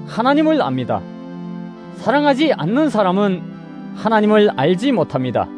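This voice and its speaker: A man reading scripture as a scripted dramatic audio narration, in a measured, declamatory manner. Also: high-pitched. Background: music.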